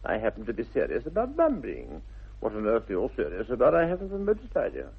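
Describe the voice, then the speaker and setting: An actor playing a flippant, idle young English gentleman with an upper-class accent and airy, mock-earnest wit, in 1960s radio comedy style. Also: medium pitch, wildly swooping pitch, fast talker, gravelly, husky, heavily acted. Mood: airy teasing. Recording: muffled narrow-band vintage radio recording.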